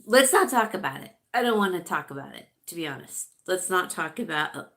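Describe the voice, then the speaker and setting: A woman's voice: fairly low pitch, lively intonation, fairly quick, bright, forceful tone. A middle-aged woman from California hosting a casual livestream, chatty and rambling, a bit congested and sniffling. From California, USA. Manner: rambling, chatty, reads viewer comments aloud. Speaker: livestream host chatting with viewers.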